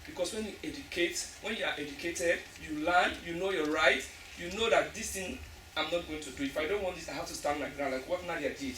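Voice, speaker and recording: A woman's voice: sits low, a little fast, fairly bright tone. A woman from Africa speaking emphatically as a panelist after a stage play, often restarting her phrases. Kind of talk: earnest audience discussion. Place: school hall. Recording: echoey hall from far off, noisy.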